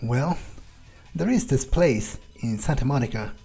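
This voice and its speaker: Slow, musing man role-playing as a vampire. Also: mid-range voice, expressive, gravelly, dark tone, breathy edge, faint music behind. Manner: musing, hesitant, casual, sweary. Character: laid-back, wry male vampire thinking aloud. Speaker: gamer role-playing his character. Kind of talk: in-character game playthrough commentary.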